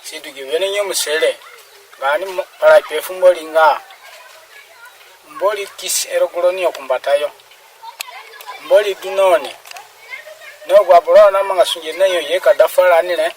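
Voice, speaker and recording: A man's voice: fairly high pitch, moderate pace, long pauses, far from the mic, slight background noise, poor audio. A man steadily reciting a long list of names.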